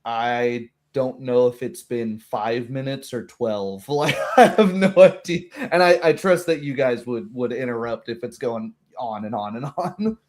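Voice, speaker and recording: A man's voice: mid-range voice, unhurried, hardly any pauses, a little mellow. A man chatting casually as a game master, reflective and given to quoting his own thoughts aloud. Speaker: game master hosting a post-game discussion. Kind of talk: casual chat.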